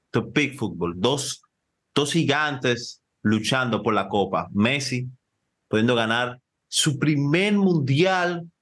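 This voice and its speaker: Excitable man. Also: mid-range voice, slow pace. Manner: excitable, slangy, jumps in and talks over his partner. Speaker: podcast co-host. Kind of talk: casual football chat.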